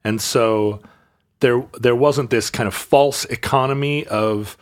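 A man's voice: mid-range voice, slow. Wry rambling male storyteller. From Alaska. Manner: rambling, restarts and digressions, wry. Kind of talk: casual chat, storytelling.

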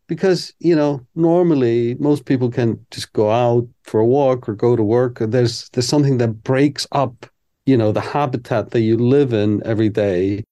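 A man chats candidly as an interview guest, working his thoughts out aloud. His voice sits in a middling register with a mellow, warm tone. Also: mid-range voice, normal speed. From Iceland. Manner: candid, reflective, thinks aloud. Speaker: composer guest. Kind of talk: casual interview chat.

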